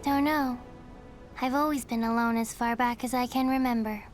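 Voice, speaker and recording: A woman voicing a guarded, distrustful orphan girl in a video game, terse, blunt and deadpan. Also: fairly high pitch, normal speed, natural voice. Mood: guarded, hopeless. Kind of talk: video game character acting. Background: loud music.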